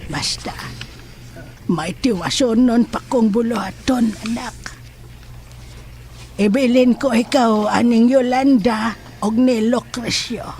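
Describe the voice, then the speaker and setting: A woman playing a grave old mother with secret powers in a horror audio drama, speaking in a deliberate, solemn way. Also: fairly high pitch, a little mellow, a little hiss or hum. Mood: solemn, secretive.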